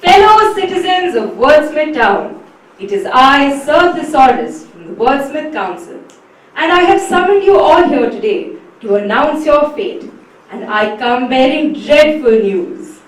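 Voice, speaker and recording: A woman's voice: high voice, animated, a little slow. A woman playing a pompous male council herald in an amateur stage play, declaiming grandly. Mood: solemn. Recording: very echoey hall, far from mic.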